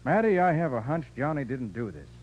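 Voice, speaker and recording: Quick, animated radio detective. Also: male voice, mid-range voice. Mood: insistent. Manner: assured, deductive, emphatic. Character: shrewd, confident male private detective, reasoning things out. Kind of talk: 1940s radio drama acting. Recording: dull lo-fi old radio recording.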